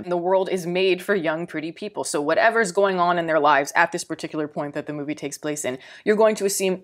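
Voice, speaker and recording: Woman, Texan accent, low pitch, film reviewer, casual rambling delivery, fillers and restarts. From Texas. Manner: rambling, thinking aloud with frequent fillers and repeated words.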